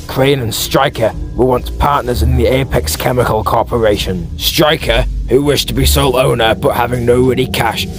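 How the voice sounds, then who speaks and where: A man voicing a stern masked vigilante detective in a comic-book audiobook, grim and deliberate. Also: medium pitch, lightly acted. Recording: background music.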